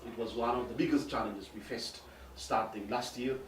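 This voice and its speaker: A man in his forties from Ethiopia, an airline regional director answering interview questions haltingly with many fillers and restarts. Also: mid-range voice, fairly even intonation. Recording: distant roomy mic with background noise.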